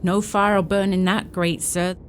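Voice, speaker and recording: A woman's voice: mid-range voice, flat delivery, moderate pace, lightly acted. An actress playing an elderly servant woman in a radio drama, deferential, grave and matter-of-fact. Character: long-serving elderly female housekeeper, deferential, grave. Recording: faint background noise.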